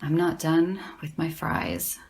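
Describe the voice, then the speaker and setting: An actress playing a sardonic, deadpan young woman in casual audio drama banter, with a gravelly creak and a dry, teasing delivery. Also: deep voice, slow pace, exaggerated voice. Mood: deadpan needling. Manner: dry, teasing, slangy, unimpressed. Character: deadpan, sardonic young woman who loves horror paperbacks.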